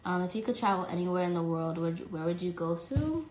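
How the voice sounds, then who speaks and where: A woman's voice: lowish voice, expressive, a little fast, darkish tone, echoey room, slight background noise. A young woman from New York with a light New York accent, chatting casually while answering fan questions on a phone livestream, full of likes and ums. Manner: chatty, lots of "like" and "um", reads comments aloud. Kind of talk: casual live Q&A. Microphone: phone at arm's length.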